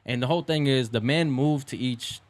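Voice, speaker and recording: A man in his late twenties talking fast through a story on a casual podcast. He rambles with plenty of fillers and keeps an easy, conversational pitch. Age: 20s. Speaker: podcast co-host.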